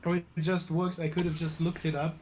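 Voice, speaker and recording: A man's voice: fairly high pitch, level tone, brisk, background noise. A man chatting casually during gameplay with a dry, teasing manner.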